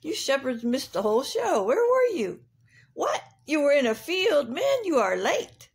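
A woman's voice: sits high, huge pitch swings, unhurried, voice pushed up, heavily acted. A woman voicing a vain, sassy male rooster in a children's story, boastful and slangy as he taunts. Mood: smug, scolding. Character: cocky, vain, loud barnyard rooster, male.

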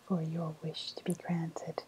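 A woman playing a sly, cooing woodland witch with a faint menace, in a breathy, softly spoken ASMR roleplay whisper. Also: low voice, completely flat delivery, heavily acted, poor audio. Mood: savouring, confiding. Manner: slow, savouring, coaxing delivery.

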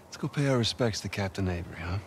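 An actor playing a cynical, daring treasure hunter, a teasing older brother, in a video game cutscene with a wry, casual delivery. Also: male voice, on the low side, animated, fast, background noise, natural voice.

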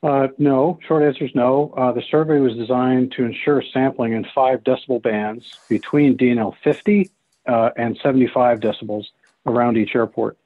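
A man's voice: mid-range voice, fairly even intonation, moderate pace, no echo. A man in his fifties giving formal explanatory answers as a panelist, over a narrow, phone-like call. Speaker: technical consultant on a panel. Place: indoors on a video call. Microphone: computer video-call microphone.

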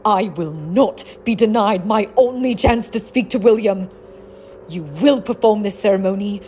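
A woman's voice: mid-range voice, moderate pace, dark tone, slight background noise, close to an everyday voice. A woman playing a grieving, headstrong young woman with formal, pleading diction in a theatrical audio drama. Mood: desperate, defiant.

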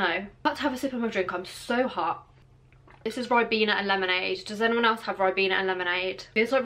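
A woman's voice: medium pitch, a bit bright. Woman, late twenties, Birmingham accent, casual vlog chat, lots of fillers, close camera mic. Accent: Birmingham English. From England. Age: 20s. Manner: chatty, rambling, with many fillers. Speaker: vlogger. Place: bedroom with clothes rail, indoors.

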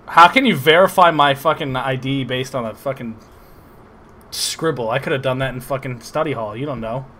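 A man giving casual, excitable, sarcastic commentary over a video game playthrough, swearing often. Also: mid-range voice, moderate pace, slight background noise. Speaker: gameplay commentator. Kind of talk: casual let's play commentary.

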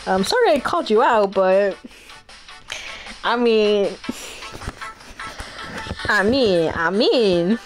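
A woman's voice: mid-range voice, expressive, takes it slowly, pauses often, soft background music. A woman streamer giving casual, slangy gameplay commentary with plenty of swearing.

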